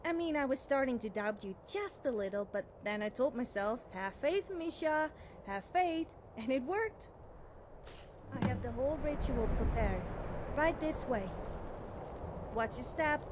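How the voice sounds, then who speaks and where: A woman's voice: sits high, expressive, measured pace, a little mellow, slight background noise. A woman voicing an eager, scatterbrained young would-be witch in a video game, chatty and self-doubting. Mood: excited, chatty. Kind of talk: video game character dialogue.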